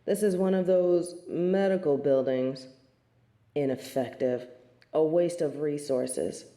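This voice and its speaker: Haughty, slow-spoken angel of judgement played by a woman. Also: sits low, warm tone. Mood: cold disdain. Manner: disdainful, imperious, formal speech. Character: haughty, merciless female angel of judgement, snobbish and self-righteous. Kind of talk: scripted audio drama acting. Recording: roomy, echoing sound.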